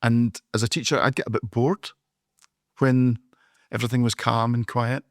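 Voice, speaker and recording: Scottish man, podcast co-host, loud jovial storyteller, mid-pitched voice, dry close sound. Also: flat delivery, normal speed, no room sound. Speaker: podcast co-host, former teacher. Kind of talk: casual chat, storytelling.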